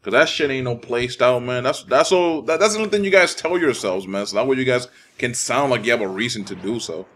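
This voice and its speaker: A man's voice: medium pitch, a little fast, few pauses, a bit bright. A young man from the Dominican Republic giving casual, profane commentary while playing a fighting game, ranting and easily irritated. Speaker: gameplay commentator.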